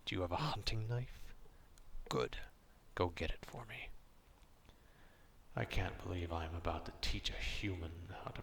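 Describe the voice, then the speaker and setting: A man acting out a gruff, proud, distrustful werewolf in an ASMR roleplay, stern and curt but grudgingly caring, in a gravelly half-whisper. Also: low-pitched, pushed and bright, slight background noise, heavily acted. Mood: exasperated, scornful.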